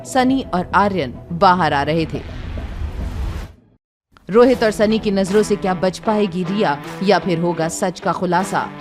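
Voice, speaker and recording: A woman narrates a serial drama in a scripted, dramatic style. Her voice is of medium pitch, and music is clearly present behind her.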